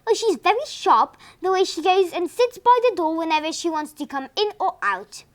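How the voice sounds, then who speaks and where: A woman's voice: very high-pitched, lively intonation, moderate pace, pitched above the performer's own voice. A woman voicing a fussy, doting elderly wife who knits, speaking in a pleading, reproachful way.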